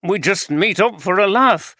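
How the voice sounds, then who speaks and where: Reader voicing a nervous, evasive husband, pleading, raised pitch, dry close mic. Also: male voice, expressive, unhurried, pushed and bright, no room sound, heavily acted. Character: sheepish middle-aged husband caught out. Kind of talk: audiobook character reading.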